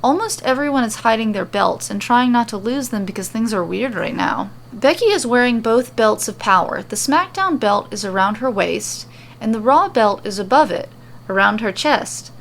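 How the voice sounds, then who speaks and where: A woman's voice: on the high side, expressive, moderate pace, creaky. A woman hosting and reading aloud her own podcast-style fantasy fiction. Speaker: host and story reader. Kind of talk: narrating homemade fantasy fiction.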